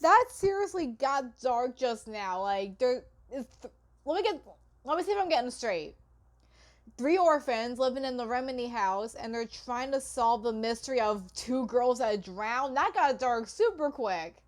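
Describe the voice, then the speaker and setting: High-pitched woman reacting casually. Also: lively intonation, measured pace. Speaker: host reacting to a video.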